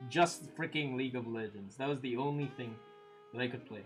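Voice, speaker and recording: A man's voice: mid-range voice, a little fast, faint music behind. A man in his early twenties hosting a stream, chatting casually and answering viewer comments with fillers and frequent laughs. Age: 20s. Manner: relaxed, chatty, laughs often, many fillers. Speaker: livestream host.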